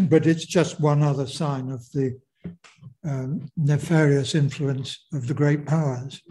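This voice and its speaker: A man giving a lecture, answering audience questions in a hesitant, measured way with many fillers. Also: on the high side, dark tone, low-quality recording. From Britain.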